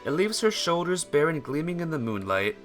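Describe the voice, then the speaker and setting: Casting: male streamer, lively intonation, casual chat plus story read-aloud, music bed. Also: on the high side, brisk. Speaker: game streamer reading a visual novel aloud. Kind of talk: casual livestream chat mixed with expressive read-aloud narration.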